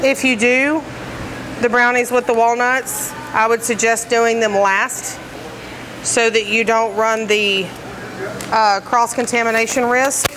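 A woman's voice: fairly high pitch, little pitch movement, takes it slowly, background noise. Woman demonstrating bakery decorating steps. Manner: practical, step-by-step, with fillers. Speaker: bakery trainer. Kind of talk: instructional demonstration. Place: commercial kitchen, indoors.

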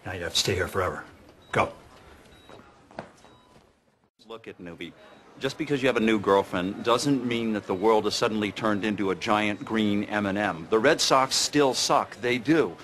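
An actor playing a sarcastic, domineering senior doctor in a sitcom, delivering mocking monologues with biting, theatrical scorn and mock sweetness. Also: male voice, fairly low pitch, moderate pace, a bit bright, natural voice. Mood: scornful. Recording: faint background noise.

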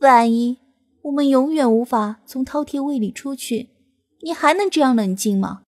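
A woman's voice: high voice, moderate pace. A woman voicing an impatient, mischievous female mythical beast in an audiobook, complaining and needling. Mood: grumbling, challenging.

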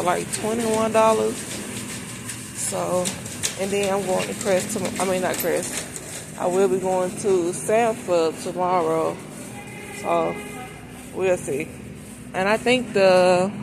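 A woman's voice: medium pitch, gravelly. A woman chatting casually to the camera in a folksy, informal way, thinking aloud as a vlogger on a shopping trip. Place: inside a large supermarket, walking. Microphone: handheld phone behind the camera. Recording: noisy store background.

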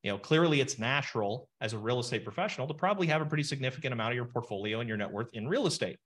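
Man, 40s, financial planner running a webinar; animated explainer, mid pitch, webcam audio. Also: racing. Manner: animated, explains with numbers and asides. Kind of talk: webinar teaching session. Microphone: webcam video call.

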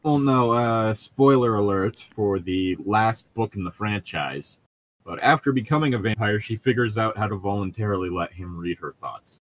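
A man's voice: lowish voice, normal speed, rough recording. A man giving casual, critical commentary as a podcast co-host, explaining his points as he goes. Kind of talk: casual commentary on a book being read aloud.

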